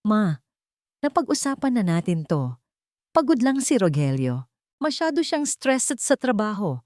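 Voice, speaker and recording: A woman's voice: medium pitch, sing-song, unhurried, darkish tone, no echo, clean studio sound, pitched above the performer's own voice. A woman voicing an irritated, defensive wife and daughter in a story, curt and dismissive in manner.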